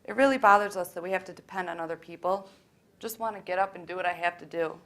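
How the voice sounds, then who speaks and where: A woman's voice: lowish voice, fast talker, fairly bright tone, lightly acted. A young woman acting out scripted monologue lines in a student readers theater show. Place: college library event. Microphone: worn microphone.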